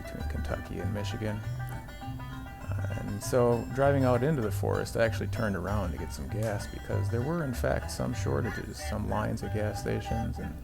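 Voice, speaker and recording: A man's voice: lowish voice, moderate pace, creaky, over music. A middle-aged man from the United States narrating a travel vlog about a road trip in an easygoing, lightly wry way.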